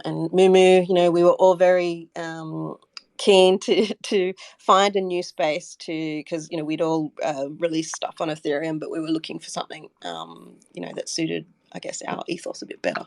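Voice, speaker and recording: A woman's voice: low-pitched, creaky, no room sound. A woman answering as a guest in a casual interview, hesitant and thinking aloud as she goes. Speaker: interview guest, community member and artist.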